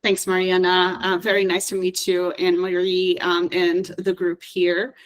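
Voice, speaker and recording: A woman's voice: on the low side, completely flat delivery, measured pace. A woman speaking as an author on an online panel, introducing herself in a reflective, explanatory way. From Brazil or United States (Brazilian American). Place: video call.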